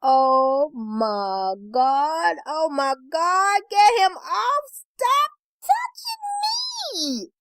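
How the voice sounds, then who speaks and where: A woman's voice: very high voice, big pitch swings, extremely slow, fairly bright tone, no room sound, clean studio sound, voice pushed up, full character voice. A woman voicing a hysterical, pleading wife who shrieks and cries out in panic as part of a story. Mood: panicked.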